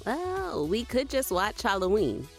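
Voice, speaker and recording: A woman's voice: medium pitch, sing-song, measured pace, creaky, faint music behind. An actress playing a brash, bossy young American woman in a horror-comedy audio drama, with a sassy, blunt way of talking.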